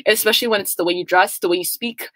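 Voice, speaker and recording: Young woman, first language Somali, panel speaker; rapid, heartfelt run-on delivery, dry close mic. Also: mid-range voice, fairly even intonation, fairly bright tone, dry recording. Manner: earnest, speaks in long run-on sentences. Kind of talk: earnest personal reflection.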